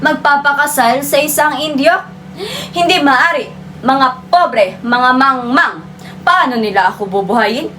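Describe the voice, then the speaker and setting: Woman doing a snobby rich doña, theatrical and scolding, kinda high voice, lots of background noise. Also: unhurried, sharp tone. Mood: scornful indignation. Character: vain, haughty high-society doña, pretentious and scornful. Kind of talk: theatrical monologue.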